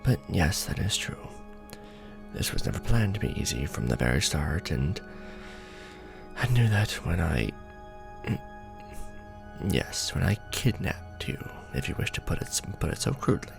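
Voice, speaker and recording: A man playing a courtly, doting vampire lord in an ASMR romance roleplay, speaking formally and deliberately with tender pauses. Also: deep voice, big pitch swings, vocal fry, music in the background, heavily acted. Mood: earnest, tender, then wry, teasing.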